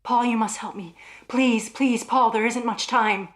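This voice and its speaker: A woman's voice: on the high side, fairly even intonation, measured pace, natural voice. A woman playing a frightened, desperate wife in an old-time radio melodrama, urgent and hesitant, as if fearing for her life. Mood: desperate pleading. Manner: breathless urgency, hesitant stammering.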